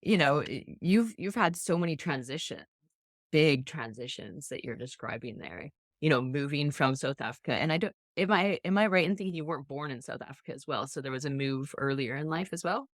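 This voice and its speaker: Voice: mid-range voice, lively intonation, fairly quick, no echo, clean studio sound. Chatty, affirming podcast host. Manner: chatty, affirming, many restarts and fillers. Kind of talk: casual interview chat.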